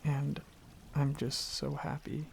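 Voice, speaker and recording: A man playing a gentle, affectionate dragon boyfriend in an intimate ASMR roleplay, speaking softly and earnestly with some hesitant rambling. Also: fairly high pitch, completely flat delivery, slow pace, a little hiss or hum. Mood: loving, tender. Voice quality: soft, husky, slightly gravelly, breathy.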